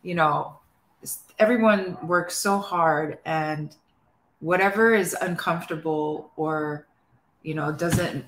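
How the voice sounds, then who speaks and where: A young woman, a guest musician, chatting casually and hesitantly about mental health, with many pauses and restarts. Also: low voice, echoey room, slight background noise, low-quality recording. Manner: soft-spoken, hesitant, many fillers and restarts. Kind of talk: casual chat. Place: living room at home.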